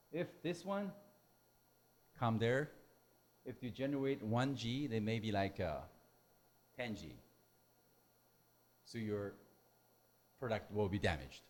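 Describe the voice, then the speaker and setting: Slow-speaking man, non-native English lecturer. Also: lowish voice. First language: not English. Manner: halting, hesitant delivery with simple broken phrasing. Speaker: technical presenter at a symposium. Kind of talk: explanatory technical lecture.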